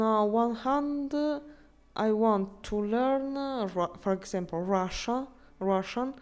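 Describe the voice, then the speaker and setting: A woman streamer chatting casually with her viewers, speaking halting non-native English with frequent restarts while she searches for words. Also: medium pitch, darkish tone, clear, resonant tone. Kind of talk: casual live-stream chat.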